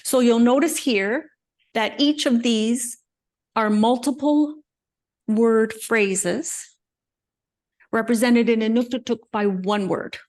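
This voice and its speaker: An older woman, a native English speaker, giving a conversational slide lecture with frequent pauses and asides. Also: fairly high pitch, darkish tone. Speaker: guest lecturer. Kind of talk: slide presentation talk.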